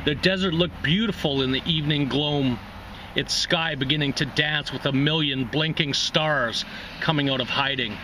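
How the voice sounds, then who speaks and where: A man reads a short story aloud as host of a reading series. His voice is bright, forceful and slightly high, with faint noise in the background. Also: moderate pace, rough recording.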